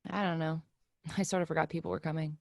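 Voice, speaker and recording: Woman playing weary blunt young woman in a play reading, croaky voice, no room echo. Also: low-pitched, fairly even intonation, fast talker, studio quality. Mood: flat, weary. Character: jaded, despairing young woman, podcaster friend. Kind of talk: play reading over video call.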